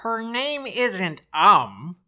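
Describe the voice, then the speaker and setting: An earnest, exasperated female assistant in an audio comedy. She speaks deliberately in a bright, forceful tone at medium pitch. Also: big pitch swings, full character voice. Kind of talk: comedic audio drama acting.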